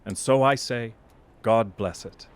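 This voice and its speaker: An actor playing a cheerful, earnest, warm-hearted young nephew in an audio drama, hearty and pleading in manner. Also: male voice, mid-range voice, measured pace, a little hiss or hum, lightly acted. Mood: earnest, heartfelt.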